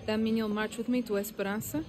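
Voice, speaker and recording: A woman's voice: mid-range voice, steady tone, quick pace, over music, lightly acted. An actress playing a bold young rebel woman with a brash, confident delivery.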